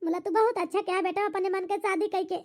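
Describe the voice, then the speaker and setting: A performer plays an elderly village mother-in-law in a cartoon comedy, with an extremely high, childlike pitch and an Awadhi accent. She laments at length, repeats herself, and is blunt and full of regret. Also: fairly even intonation, fast. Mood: approving.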